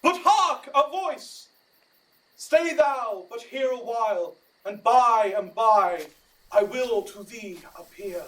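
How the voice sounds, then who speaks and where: A pompous, bumbling comic rustic, very high for a male voice and widely swooping. He declaims slowly in a Shakespearean radio play, a little away from the microphone. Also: animated, a bit bright, far from the mic, heavily acted, poor audio. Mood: grandly declaiming. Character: bumbling, self-important male amateur actor, comic rustic. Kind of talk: Shakespearean audio drama acting.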